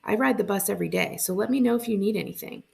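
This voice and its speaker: A woman's voice: medium pitch, very fast, vocal fry, darkish tone. A woman voicing a confident, helpful middle-school girl with a casual, friendly manner in a storybook reading.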